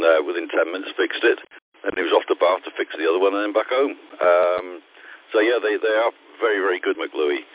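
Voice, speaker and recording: A man on a two-way radio, chatting in an easygoing way with many fillers. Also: low voice. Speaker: radio amateur. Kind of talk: casual chat over the air. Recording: tinny radio channel.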